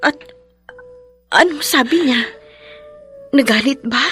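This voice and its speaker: An actress playing a concerned female confidante in a radio drama, husky and full of questions. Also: high voice, slow, a bit bright, soft background music. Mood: concerned, probing. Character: concerned, probing woman confidante of the heroine.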